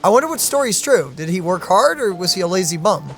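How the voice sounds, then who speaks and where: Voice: mid-range voice, sing-song, fast, fairly bright tone, soft background music. A streamer casually reacting to a video game and musing aloud.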